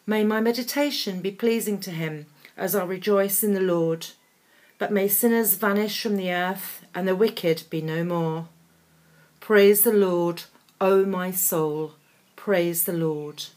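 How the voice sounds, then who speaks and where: A woman reading a psalm aloud in a slow, deliberate recitation. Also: mid-range voice, a little mellow.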